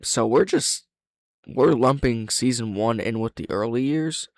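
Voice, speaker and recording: A man's voice: mid-range voice, a little slow, no echo, studio quality. A man giving casual, off-the-cuff reaction commentary, often interrupting himself and swearing freely. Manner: hesitant, self-interrupting, frequent swearing. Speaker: reaction host.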